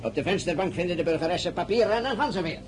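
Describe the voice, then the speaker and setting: A man's voice: sits high, racing, lightly acted. An actor playing a brusque, bored male official who calls out names and instructs petitioners in a radio drama. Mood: bored, officious. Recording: noisy ambient background.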